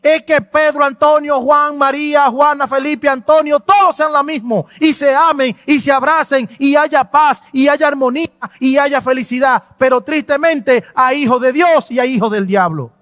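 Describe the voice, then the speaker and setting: A male pastor delivers a fervent sermon with an unusually high voice and hammering repetitions. The mic sits close and dry, yet a long reverb trails through the church hall. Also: normal speed, hardly any pauses, a bit bright. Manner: fervent and emphatic, repeats "no, no, no". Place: church sanctuary.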